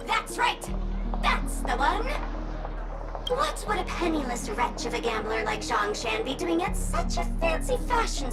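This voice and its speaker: A woman's voice: extremely high pitch, recorded from a distance, exaggerated voice. A woman voicing a playful, mischievous young female sheriff in a video game, melodramatic and teasing in her delivery. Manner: melodramatic, teasing, mock-impressed. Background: music.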